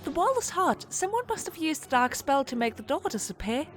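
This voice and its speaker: Woman as a brainy, high-voiced teen fairy. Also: very animated, brisk. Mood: analytical concern. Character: brainy, cautious, precise teenage fairy girl. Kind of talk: cartoon fan dub acting. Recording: faint music behind the voice.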